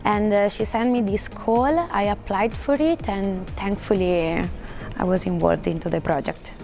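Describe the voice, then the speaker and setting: A woman's voice: mid-range voice, moderate pace, gravelly, a little mellow, faint music behind. A woman from Italy, a doctoral researcher, giving careful recorded interview answers with some fillers. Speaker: PhD researcher.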